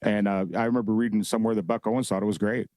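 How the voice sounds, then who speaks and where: A man with a lowish voice chats as a podcast guest, rambling and reminiscing and often searching for a name mid-sentence. He sounds relaxed and conversational. The studio sound is dry and close. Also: racing, dry recording. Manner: rambling, reminiscing, groping for names. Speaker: guest, bar owner. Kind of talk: casual podcast chat.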